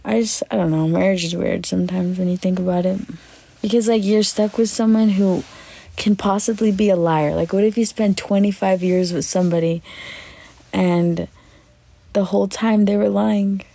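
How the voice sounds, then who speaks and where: A woman in her twenties chatting casually on a livestream, lying in bed and answering comments with lots of fillers, in a voice she calls deep. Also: fairly even intonation, moderate pace, gravelly, dark tone. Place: bedroom, lying on a bed indoors. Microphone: phone at arm's length.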